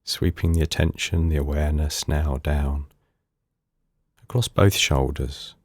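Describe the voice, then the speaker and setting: A man leading a guided meditation in calm, unhurried, soothing short phrases with pauses between them. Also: very deep voice, no room sound, studio quality. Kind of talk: guided body scan meditation.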